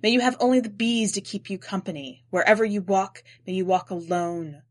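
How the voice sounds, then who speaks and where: A woman's voice: mid-range voice, fairly quick, close to an everyday voice. A woman voicing a bitter, weary wanderer in a story, with declamatory, incantatory delivery. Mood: bitter cursing. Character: bitter, weary wanderer hurling solemn curses.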